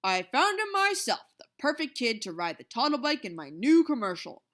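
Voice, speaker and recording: A big, blustering boss man bellows his demands in a storybook reading, put on by a woman reader. His voice is loud and bossy, rising and swooping as he insists. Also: mid-range voice, animated, brisk, natural voice. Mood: shouting. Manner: shouting, bossy. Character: big loud blustering male company president.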